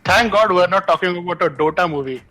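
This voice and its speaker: A man's voice: high-pitched, fast, sharp tone. A man joining a casual panel chat about films and games. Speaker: podcast panelist. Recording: music under the voice. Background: music.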